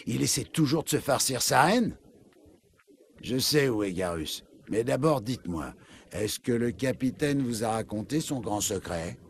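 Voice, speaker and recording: An actor playing a sleazy, bitter cop in a video game, sneering and insinuating in a gravelly voice. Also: male voice, medium pitch, lively intonation, a little slow. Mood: smug, insinuating. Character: sleazy, bitter male human cop, smug gossip.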